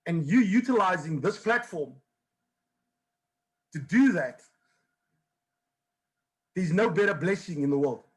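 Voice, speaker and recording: Man hosting a motivational video call, encouraging, pitched high. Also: expressive, slow pace, long pauses, poor audio. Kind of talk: motivational presentation.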